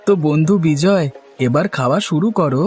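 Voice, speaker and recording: A man's voice: a little high, brisk, soft background music, natural voice. A man voicing a poor, hospitable village man in a cartoon, effusive and insistent. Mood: welcoming. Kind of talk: Bengali cartoon story dialogue.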